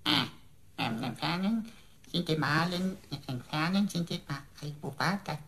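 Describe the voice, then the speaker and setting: Pompous, high-voiced computer with swooping delivery. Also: male voice, expressive, moderate pace, gravelly, fairly bright tone. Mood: flustered. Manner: pompous, florid mock-preacher declamation. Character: pompous, jealous male personal computer, long-winded and theatrical. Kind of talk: German sci-fi radio drama acting.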